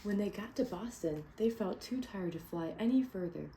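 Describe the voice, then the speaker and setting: A woman reading a picture book aloud to children as a teacher, lively and encouraging, stopping to ask them questions. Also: medium pitch, moderate pace, noisy background.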